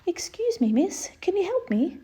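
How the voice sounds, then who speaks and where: A woman's voice: very high voice, big pitch swings, normal speed, warm tone, voice pushed up. A woman voicing a young, polite, earnest princess in a children's storybook, with a courteous, imploring delivery. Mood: timid, pleading.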